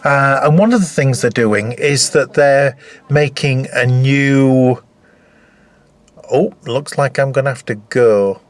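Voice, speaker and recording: A man's voice: mid-range voice, slow. A man in his fifties talking casually in a personal video diary, rambling and hesitant in a matter-of-fact way. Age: 50s. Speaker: vlogger. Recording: echoey room.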